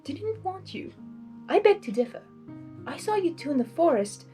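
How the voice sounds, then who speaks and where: Woman as a soft-spoken, regal pony princess. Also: very high-pitched, very animated, soft background music, pitched above the performer's own voice. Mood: scoffing. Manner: soft, measured, formal, frank. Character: calm, regal female pony princess, gently probing.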